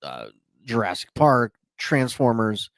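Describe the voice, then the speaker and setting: A man's voice: mid-range voice, big pitch swings, slow pace, creaky, fairly bright tone, no room sound, studio quality. A man co-hosting a podcast in casual chat, eagerly explaining how a card game works. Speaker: podcast co-host.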